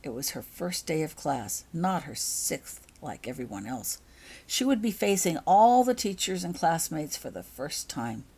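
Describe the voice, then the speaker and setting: Low-pitched woman reading a story. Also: big pitch swings, measured pace, dark tone, breathy edge. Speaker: author reading own story. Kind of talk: scripted audiobook narration.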